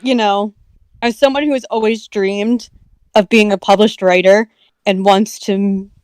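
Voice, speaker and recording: A chatty woman talks earnestly on a casual stream, full of little restarts and fillers. Her voice is smooth and clear, recorded dry and close with no room sound. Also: mid-range voice, steady tone, measured pace, a little mellow, no echo. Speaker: co-host.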